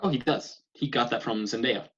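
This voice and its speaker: A polite, awkward young man, played by a male actor in a comedy table read. He is mid-pitched and rough-edged, with a hesitant, baffled delivery. The sound is dull and muffled. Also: normal speed, a bit bright. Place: recorded remotely at home on a video call.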